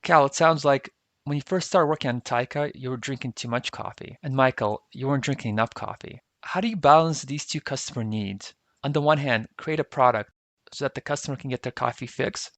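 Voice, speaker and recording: A man's voice: mid-range voice, brisk, fairly bright tone, no room sound. Male podcast host with a dry, close sound. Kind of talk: interview questions.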